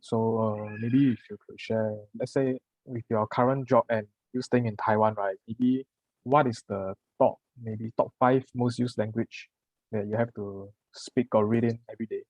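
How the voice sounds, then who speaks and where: A man's voice: mid-range voice, steady tone, normal speed, darkish tone, dry recording, poor audio. Casting: Singaporean male interviewer, curious and friendly, recorded close and dry. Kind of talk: casual interview questions.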